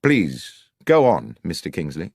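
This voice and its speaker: A man voicing a shrewd, composed gentleman detective in an audiobook, formal, assured and incisive. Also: low voice, big pitch swings, unhurried, vocal fry, husky, no room sound, studio quality, voice pushed down, heavily acted. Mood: probing. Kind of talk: audiobook character dialogue.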